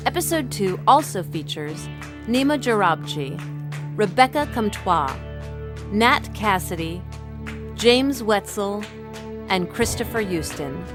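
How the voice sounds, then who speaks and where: Woman reading podcast credits, unhurried, medium pitch, music clearly playing under her. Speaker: credits announcer. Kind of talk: scripted podcast credits read.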